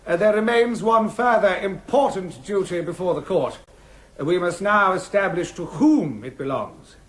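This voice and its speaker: A courtly, formal coroner in a radio comedy. His voice is pitched high, and he speaks in measured, polite phrases with slight hesitations. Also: animated.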